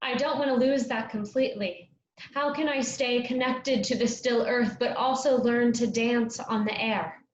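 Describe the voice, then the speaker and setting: A woman plays a reverent young girl in a staged play, earnest and eager to learn. Her voice sits fairly high. The recording is dull, with faint noise behind it. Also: steady tone, moderate pace, natural voice. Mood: calm, searching. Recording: roomy, dull, noisy lo-fi recording.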